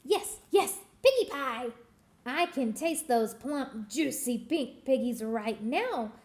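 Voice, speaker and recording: A woman's voice: high-pitched, animated, slow pace. A woman voicing a greedy old witch who screeches and cackles.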